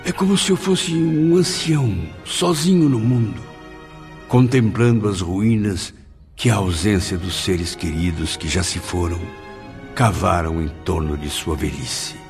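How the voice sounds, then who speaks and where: A man's voice: deep voice, unhurried, vocal fry, soft background music. An actor playing a melancholy, guilt-ridden male spirit in a radio drama, with solemn, literary Brazilian diction. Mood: sorrowful.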